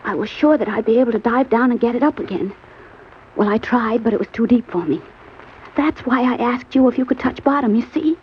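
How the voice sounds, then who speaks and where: A fairly high-voiced scheming femme fatale acted by a woman in a vintage radio thriller. She is earnest and melodramatic, always imploring, on a muffled recording with faint noise. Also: a little fast, lightly acted. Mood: urgent, confiding. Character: pleading, scheming female accomplice professing love. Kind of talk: old-time radio crime drama acting.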